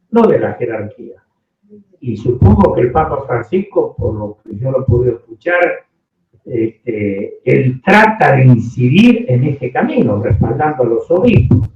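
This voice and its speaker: A man giving earnest, emphatic and deliberate remarks as a panel participant. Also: mid-range voice, darkish tone. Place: echoey indoor room at panel event. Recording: echoey room, distant mic, dull sound.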